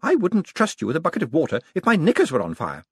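A man voicing a feisty, blunt old woman who is gleeful and mildly cruel, with a high, bossy delivery. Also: huge pitch swings, fast talker, slightly rough, no echo, clean studio sound, full character voice. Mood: triumphant, snappish.

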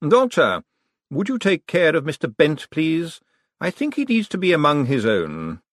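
Male narrator as commanding ruler, dry wit, measured delivery, authoritative, lively intonation, close dry audiobook sound. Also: on the high side, no room sound, pitched above the performer's own voice, heavily acted. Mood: cool, courteous. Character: commanding, dryly witty male ruler, coolly in control.

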